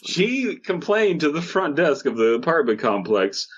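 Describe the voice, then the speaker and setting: A man's voice: on the high side, very animated, a little slow, highs cut off, slight background noise. Casual, sweary male podcast co-host. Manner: profane, ranting, repeats phrases for comic effect. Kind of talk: casual comedic podcast banter.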